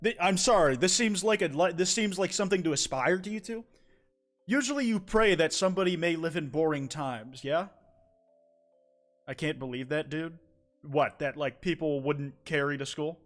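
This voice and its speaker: Young man, streamer, slightly high voice, rambling, profane, faint music behind. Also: normal speed. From United States. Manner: rambling, profane, many restarts. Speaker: streamer reading viewer confessions. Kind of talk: casual live-stream chat.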